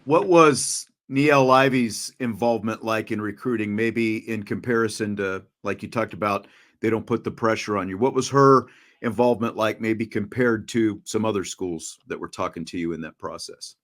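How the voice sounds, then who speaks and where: A man's voice: on the low side, moderate pace, a bit bright. A man, a radio announcer, conducting a friendly conversational interview and often restarting his questions.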